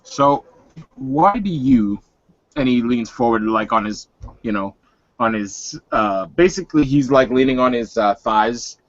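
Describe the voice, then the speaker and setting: A man casually improvising third-person narration as a tabletop game player, halting and full of filler words. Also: mid-range voice, expressive. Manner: halting, peppered with "like". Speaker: role-playing game player. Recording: slightly muffled lo-fi audio.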